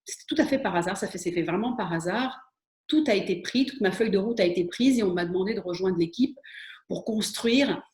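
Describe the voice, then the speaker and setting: A woman's voice: on the low side, a little mellow. A middle-aged woman answering interview questions about her career, speaking with frequent hesitations, fillers and restarts. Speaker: interview guest, spa and wellness expert. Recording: echoey room.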